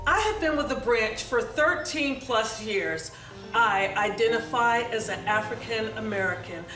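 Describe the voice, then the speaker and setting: A woman's voice: fairly high pitch, a little slow, bright, forceful tone. A woman on a court marshal staff giving a proud, upbeat interview statement. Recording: distant echoey room, music behind.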